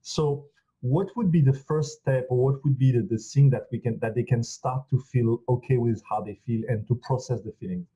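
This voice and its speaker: A man from France with a French accent hosting an interview, speaking haltingly with frequent restarts and supportive asides. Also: medium pitch, mellow, breathy edge, rough recording. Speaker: relationship coach, host.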